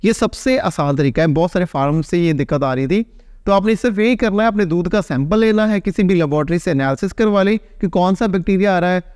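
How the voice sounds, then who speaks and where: Man explaining farm topics, higher voice. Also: fairly quick, darkish tone, smooth, no room sound, studio quality. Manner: conversational, keeps adding a checking "right?". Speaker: veterinarian answering viewer questions. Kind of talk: casual explainer to camera. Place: small plain indoor room, seated.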